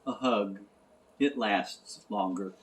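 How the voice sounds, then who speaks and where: A man's voice: on the low side, takes it slowly. Man playing a small, earnest storybook mole. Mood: gentle, earnest. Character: small gentle cake-loving male mole, kindly and wise.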